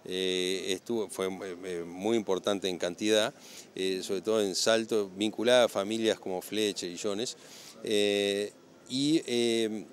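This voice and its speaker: A middle-aged cattle breeder, answering interview questions outdoors in a halting way with many fillers and restarts. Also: male voice, sits low, a little hiss or hum. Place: outdoor livestock show grounds. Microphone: handheld interviewer mic.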